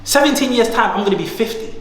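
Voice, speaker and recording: A man in his thirties with a high voice talks in a casual, emphatic way, full of slang and motivational push. He sounds like he is in an echoey room, and his voice trails off in a long reverb. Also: lively intonation, fast, fairly bright tone. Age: 30s. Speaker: guest, entrepreneur. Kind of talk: casual conversation.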